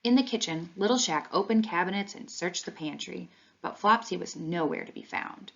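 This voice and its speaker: A woman reading a children's storybook aloud in an expressive, animated way. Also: on the low side, normal speed, gravelly. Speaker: read-along reader. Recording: dull, lo-fi sound.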